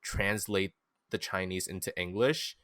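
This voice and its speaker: A young man hosting a podcast, chatting in a relaxed, thoughtful way and asking curious questions. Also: sits low, measured pace, no echo, clean studio sound. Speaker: podcast host. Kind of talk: casual chat.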